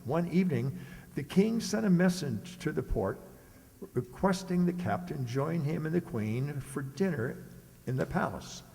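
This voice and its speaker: A man's voice: fairly high pitch, animated, measured pace, creaky, warm tone. A man narrating a scripted children's radio story as a theatrical storyteller. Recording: reverberant hall sound.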